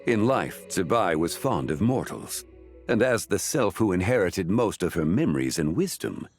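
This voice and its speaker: A composed, ancient male sage from a fantasy game. His voice sits low yet moves with expressive inflection, and he explains things in stately, archaic phrasing. Soft music plays underneath. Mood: composed, measured. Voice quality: deep, measured. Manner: formal, deliberate, archaic phrasing. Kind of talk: scripted video game dialogue.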